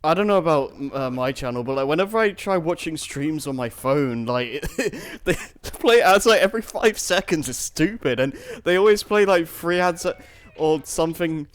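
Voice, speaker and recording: A man's voice: fairly high pitch, lively intonation, normal speed. A man in casual chat, often saying "like" and restarting his phrases. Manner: frequent "like", restarts mid-phrase. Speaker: co-host of a stream.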